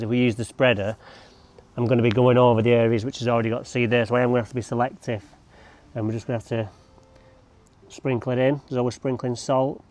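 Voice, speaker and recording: A man's voice: medium pitch, a little mellow. A man chatting casually to camera while working outdoors, rambling with joking asides. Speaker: lawn care vlogger. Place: outdoors on a lawn.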